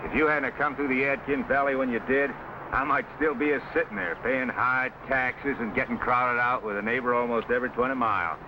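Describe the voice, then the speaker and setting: A man's voice: medium pitch, steady tone, a little fast, natural voice. An actor playing a cautious, dryly humorous American frontiersman with plainspoken backwoods phrasing, in a vintage adventure serial. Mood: reflective. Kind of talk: 1950s adventure serial acting. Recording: muffled, noisy old broadcast soundtrack.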